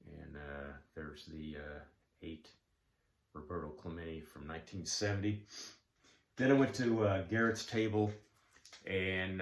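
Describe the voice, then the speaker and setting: A man rambles along in a folksy, easygoing way, full of ums and uhs. His voice sits very low and unhurried. It bounces around an echoey room. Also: fairly bright tone. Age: 70s. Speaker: card collecting vlogger. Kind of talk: casual chat.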